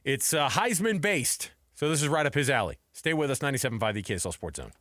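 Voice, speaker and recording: A man's voice: medium pitch, sing-song, fast, fairly bright tone, dry recording. An American man co-hosting a sports radio show in a casual, breezy, colloquial way.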